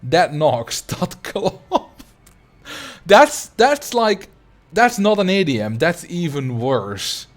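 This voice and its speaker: A man's voice: fairly high pitch, very animated, drawn-out words. A man from the Netherlands with a noticeable but mild Dutch accent, a native Dutch speaker chatting casually and in a rambling way as he streams a game. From Netherlands, grew up in Drenthe. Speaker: video game livestreamer.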